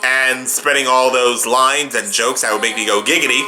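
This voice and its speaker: A man's voice: medium pitch, steady tone, normal speed, low-quality recording. A man doing live reaction commentary as a video host, exclaiming and talking back to the show he follows. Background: drama audio and music playing behind.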